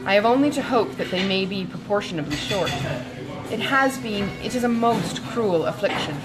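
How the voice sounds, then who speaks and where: A woman voicing a sensible young gentlewoman in an audiobook, measured, polite and restrained. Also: medium pitch, expressive, over loud music, close to an everyday voice, rough recording. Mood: restrained sorrow. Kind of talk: audiobook character dialogue.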